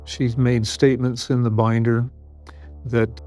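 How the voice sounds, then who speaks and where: An American man recounts a case in an interview, as a detective would. His mid-pitched voice is measured and matter-of-fact, with faint music underneath. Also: little pitch movement, dark tone.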